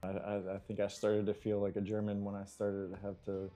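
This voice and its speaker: Guest: American man, low and mellow, halting and reflective, faint music behind. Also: completely flat delivery. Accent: American English. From United States. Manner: thoughtful, halting, clears his throat. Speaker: interview guest, engineer. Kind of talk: reflective interview answers.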